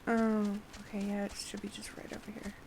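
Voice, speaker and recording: A woman's voice: medium pitch, completely flat delivery, a little fast, vocal fry, noisy background. A woman doing casual live gameplay commentary, muttering and thinking aloud to herself. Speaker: game streamer.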